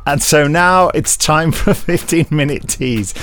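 A man's voice: on the high side, a little slow, a bit bright, faint music behind. A man co-hosting a podcast in casual, jokey chat, playfully stumbling over his words. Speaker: podcast co-host and actor.

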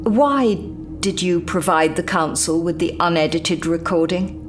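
A woman playing Romana, measured Time Lord leader. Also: on the low side, background noise, natural voice. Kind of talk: audio drama acting.

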